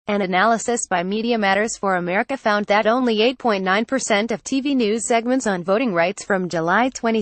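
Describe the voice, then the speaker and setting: A woman reading a long magazine news feature aloud in a measured, even narration. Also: medium pitch, dry recording.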